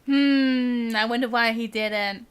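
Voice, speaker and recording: A woman's voice: on the high side, fairly even intonation, takes it slowly, slight background noise. A woman live streamer chatting casually and giggly while she plays a game.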